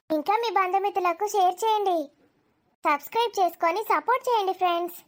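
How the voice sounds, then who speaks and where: A woman hosting a channel, giving a scripted introduction and appealing directly to viewers. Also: extremely high pitch. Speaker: channel host.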